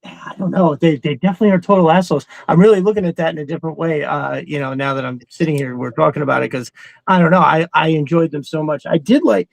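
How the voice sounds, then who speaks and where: A man's voice: a little high, quick pace, creaky, no room sound, low-quality recording. A man chatting casually as a podcast co-host, enthusiastic and rambling, with some swearing thrown in. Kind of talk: casual chat about cartoons.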